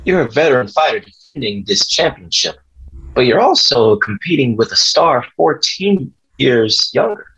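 A man playing a husky, prodding sports journalist. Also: mid-range voice, very animated, moderate pace, fairly bright tone, full character voice. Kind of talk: screenplay table read acting. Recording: dull lo-fi call audio.

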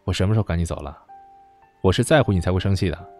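A man playing a gruff, short-tempered but secretly caring young man in a radio drama, blunt and colloquial. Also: sits low, fast, a little mellow, soft background music. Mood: reassuring, softened.

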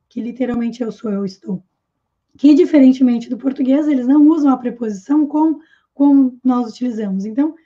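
A woman in her thirties teaching an online English lesson, slowly repeating example phrases and explaining them with care. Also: high voice, mellow, muffled recording. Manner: slow, careful repetition of example phrases.

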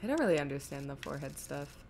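A woman's voice: deep voice, very animated, quick pace, a little mellow, a little hiss or hum. A woman streaming a video game, chatting informally and rambling to viewers as she plays. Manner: informal, rambling, muttering to herself while playing. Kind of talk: casual live gaming commentary, chatting to viewers. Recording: game music behind the voice. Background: video game music and sound.

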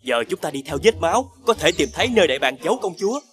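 A man playing an honest, trusting young woodcutter hero in an animated fairy tale, earnest and obliging, with a southern Vietnamese accent. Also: on the high side, rapid-fire.

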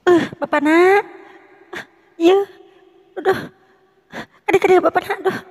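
A man doing a shrill wailing old village woman. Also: steady tone, measured pace, in a large hall. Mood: distraught. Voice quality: high shrill falsetto. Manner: wailing, pleading, drawn out. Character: frantic tearful older village woman.

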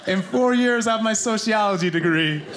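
An American man in his thirties from New Jersey performing a conversational stand-up routine, with deliberate pauses and acted-out quotes. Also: sits high. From New Jersey, United States. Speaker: stand-up comedian. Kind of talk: live stand-up comedy routine. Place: theater stage in front of an audience. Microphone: handheld microphone. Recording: live stage mic with audience noise.

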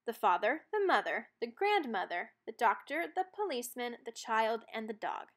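A woman narrating a children's storybook aloud in an expressive read-aloud style. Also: fairly high pitch, normal speed.

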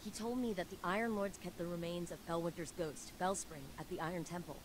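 A woman's voice: on the low side, fairly even intonation, fast, noisy background, natural voice. A woman voicing a driven young female scientist in a video game cutscene, speaking earnestly, with urgent persuasion. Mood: urgent, hopeful.

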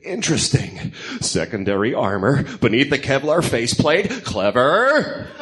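A man's voice: mid-range voice, sing-song, a little slow, a bit bright. A man voicing a stern, coldly clever masked vigilante detective interrogating in a comedic radio drama. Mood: coolly analytical. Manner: interrogating, clipped.

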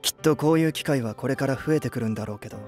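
A man's voice: medium pitch, very fast, darkish tone, faint music behind, close to an everyday voice. A male actor playing a cool young band musician in an anime voice drama. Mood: impressed.

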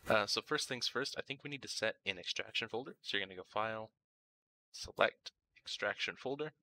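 A man narrating a casual step-by-step software tutorial, informal and thinking aloud as he works. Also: on the low side, a little fast. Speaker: tutorial host.

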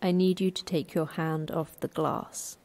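Casting: stern female manager of a secret facility, lowish voice, curt, commanding, horror podcast. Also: fairly even intonation, a little slow, a little mellow. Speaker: actor in sci-fi horror audio drama. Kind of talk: audio drama acting.

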